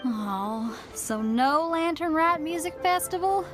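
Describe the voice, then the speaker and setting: A woman's voice: high-pitched, animated, slow pace. A woman playing an upbeat, spirited young female rock musician in a video game cutscene. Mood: curious. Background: faint game music.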